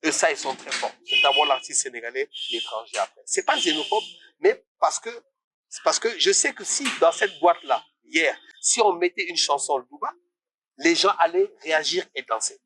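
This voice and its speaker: A man's voice: fairly high pitch, lively intonation, moderate pace, sharp tone. A man from Congo, a musician talking as a guest in a casual interview, passionate and rising to indignant questions.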